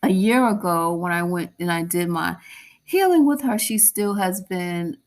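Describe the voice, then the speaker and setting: Gravelly-voiced woman hosting a gushing chat. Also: fairly low pitch, animated, a little slow. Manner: gushing and enthusiastic. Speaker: podcast host. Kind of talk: casual interview chat.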